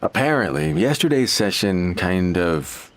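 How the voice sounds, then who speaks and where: A complacent, evasive townsman in an animated video game. He talks slowly and haltingly in a lowish voice with wide swings of pitch. Faint music sits behind him. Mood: uneasy.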